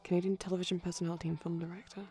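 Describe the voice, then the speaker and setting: A man speaking softly in a chatty, rambling ASMR style, often dropping to a whisper, digressive and tentative. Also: sits high, flat delivery, racing, soft background music. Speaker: ASMR performer. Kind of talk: soft-spoken rambling ASMR commentary on a film. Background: TV film audio playing in background.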